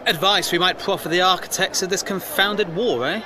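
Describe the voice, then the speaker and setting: A man's voice: sits high, a little fast, background noise, natural voice. An actor playing an earnest, questioning First World War newspaperman, well-spoken, formal and wry, in an audio drama. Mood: wry, musing. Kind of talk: period audio drama acting.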